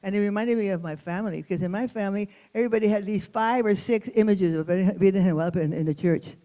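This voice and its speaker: Woman, East Los Angeles accent, storyteller, warm mellow tone, expressive, Spanish words mixed into English. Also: mid-range voice. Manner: expressive, dramatic pauses, sprinkles Spanish words then translates them. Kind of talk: performed personal storytelling.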